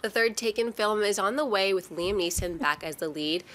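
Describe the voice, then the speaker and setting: A woman's voice: medium pitch, moderate pace, a bit bright. A woman reading scripted entertainment news as a show's presenter.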